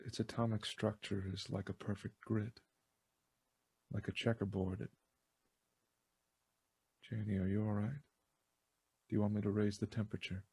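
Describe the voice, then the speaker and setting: A man playing a calm, melancholy godlike superhuman in a fan-made drama, speaking in a measured, detached way. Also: fairly low pitch, husky. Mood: gentle, detached. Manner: flat, measured, detached delivery. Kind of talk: dramatic monologue in a fan-made online play. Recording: music behind the voice.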